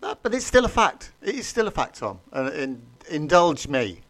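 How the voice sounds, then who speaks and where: A man's voice: fairly high pitch, sing-song, normal speed, fairly bright tone, dry recording. Mock-dramatic man. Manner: mock-dramatic, self-deprecating. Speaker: podcast co-host. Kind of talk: casual football chat.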